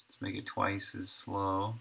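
Gravelly man musing while he codes. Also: sits low, monotone, sharp tone. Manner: musing, halting, talks to himself. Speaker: game developer streaming his work. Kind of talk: casual thinking aloud while coding.

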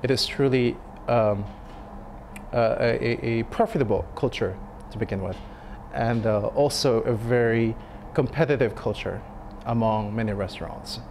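A man in his thirties, a restaurant chef, speaking in a sit-down interview, thoughtful and halting with many fillers and restarts. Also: medium pitch, darkish tone, a little hiss or hum. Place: dim restaurant dining room. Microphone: lapel mic.

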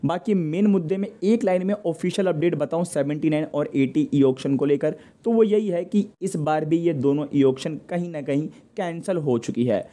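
A man's voice: fairly high pitch, normal speed, few pauses, warm tone. A man hosting a news update, narrating informally and speaking directly to viewers in a conversational way. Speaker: host of a TV channel news update show.

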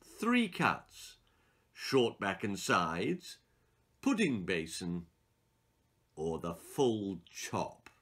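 A man in his sixties reading a children's story aloud in an expressive, theatrical and emphatic way. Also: low-pitched, extremely slow, long pauses, a little hiss or hum. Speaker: author reading his own children's stories. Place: small book-lined room indoors.

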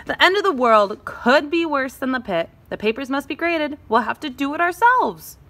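A woman voicing a blunt, scornful pair of scissors in a storybook, sharp-tongued and dismissive. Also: sits high, very animated, moderate pace, voice pushed up, exaggerated voice. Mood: urgent.

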